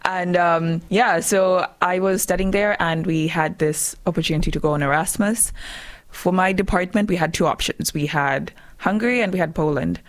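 A woman's voice: fairly low pitch, moderate pace. A young woman in her twenties from Zambia, chatting as a radio guest, laughing often and acting out her own past thoughts. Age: 20s. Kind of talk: casual interview chat.

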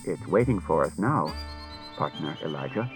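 A man voicing an unemotional humanlike robot detective, murmuring softly in a measured, polite way in an audiobook. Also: animated, breathy edge, faint music behind, full character voice. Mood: matter-of-fact. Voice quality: low, soft murmur.

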